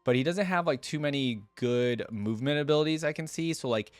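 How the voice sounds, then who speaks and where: A man's voice: medium pitch, fairly quick, no echo, soft background music. A man hosting a casual reaction video, reading aloud and commenting informally as he thinks things through.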